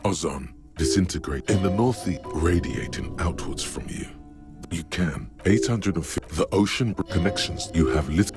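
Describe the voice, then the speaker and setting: An actor voicing a solemn, cryptic inner-voice narrator in a video game, speaking in measured, literary, brooding phrases with a gravelly voice. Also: male voice, full character voice. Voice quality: gravelly, very deep. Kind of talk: scripted second-person game narration. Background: music.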